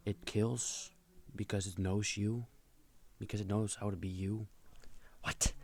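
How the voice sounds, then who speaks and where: A man's voice: on the low side, flat delivery, unhurried, gravelly, slight background noise. A man giving casual, mocking reaction commentary, sounding incredulous and swearing freely. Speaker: reaction video host.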